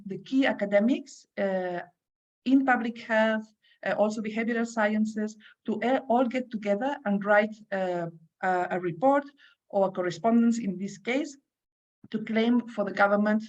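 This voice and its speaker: Spanish-accented woman on a dull call line. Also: medium pitch. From Spain. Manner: earnest, repeats words as she restarts. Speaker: panel speaker, public health academic. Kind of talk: online panel talk.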